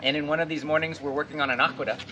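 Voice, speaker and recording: A man's voice: fairly high pitch, very fast, background noise. A man from Portland, Oregon, casually telling his story as a cacao farm owner with lots of fillers. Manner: relaxed, rambling, frequent fillers. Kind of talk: casual guided tour and life story. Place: outdoors on a farm.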